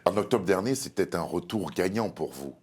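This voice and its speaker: Man, talk show interviewer, low pitch, brief questions, frequent acknowledgements. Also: animated, normal speed, a little mellow, slightly rough. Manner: brief backchannel, short questions. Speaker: interviewer, host.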